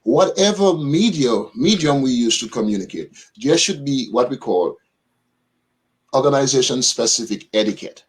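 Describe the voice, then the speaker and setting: A man speaking as a guest on a radio panel, laying out his points in a measured, methodical order. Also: mid-range voice, lively intonation, low-quality recording. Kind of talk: panel discussion on a live radio show.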